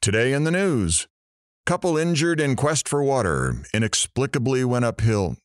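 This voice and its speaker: A man's voice: medium pitch, very animated, normal speed, no echo, clean studio sound, close to an everyday voice. A man playing a wisecracking, sarcastic radio talk show host in an audio drama. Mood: wry.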